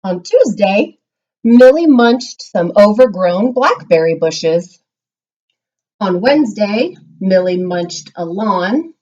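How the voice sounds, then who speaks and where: A woman teacher giving a read-aloud lesson to young children, explaining simply and reading with expressive emphasis. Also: mid-range voice, takes it slowly, lo-fi sound. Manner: instructional, simple explanations with check-ins. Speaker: primary school reading teacher.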